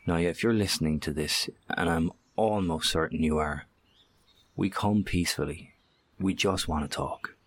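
An actor in an audio horror drama playing a steady, weary, earnest survivor with a measured, sincere manner. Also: male voice, low voice, dry recording.

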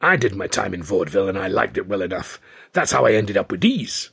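A man voicing a rough, plain-spoken American vaudeville comedian with a raspy voice in an audiobook. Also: low-pitched, sing-song, fast, fairly bright tone, exaggerated voice. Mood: gruff, blunt. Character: cross-eyed rough American vaudevillian turned film comedian, male.

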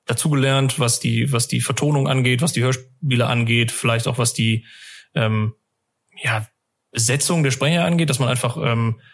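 A man's voice: medium pitch, normal speed, creaky. Casual man chatting on a podcast. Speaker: fan podcast host.

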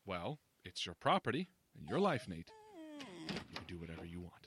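A man's voice: low voice, big pitch swings, brisk, noisy background. A man playing a curt, impatient friend in an audio drama, dismissive and sarcastic. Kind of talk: scripted audio drama dialogue.

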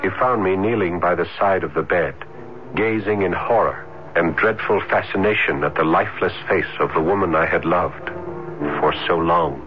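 A man's voice: deep voice, moderate pace, muffled recording, close to an everyday voice. An actor playing a haunted, despairing young student, narrating his tale in a dramatic radio horror style. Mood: grief-stricken.

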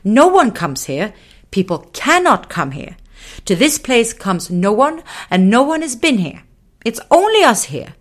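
A woman's voice: a little high, very animated, unhurried, voice pushed up. Woman doing a fussy, scolding little blue man. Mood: agitated. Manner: insistent, scolding, repetitive. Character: small fussy blue man creature, nervous and stern.

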